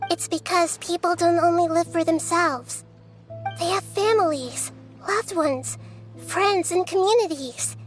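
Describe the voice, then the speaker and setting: A woman voicing a small childlike goddess in a video game, speaking in a measured, earnest and philosophical way, gentle but resolute. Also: very high-pitched, soft background music.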